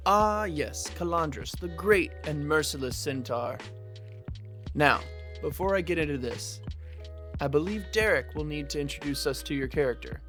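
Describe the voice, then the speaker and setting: A man's voice: on the high side, expressive, normal speed, a bit bright. An actor as a young male game master over music. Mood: theatrical, playful. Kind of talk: naturalistic audio drama dialogue.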